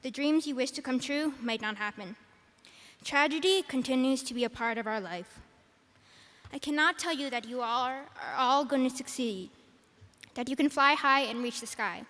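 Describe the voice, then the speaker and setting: A soft-spoken teenage girl reads her graduation speech into a podium microphone. Her voice sits high and light. Long reverb from the big arena trails after every phrase. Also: normal speed. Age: teens. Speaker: salutatorian giving graduation speech. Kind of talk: prepared commencement speech. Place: large arena.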